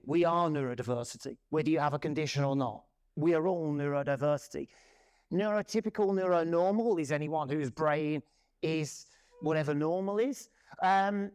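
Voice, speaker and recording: Loud, lively man in his forties. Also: a little high. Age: 40s. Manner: loud, lively, self-deprecating. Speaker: conference speaker. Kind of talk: presentation talk. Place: conference room.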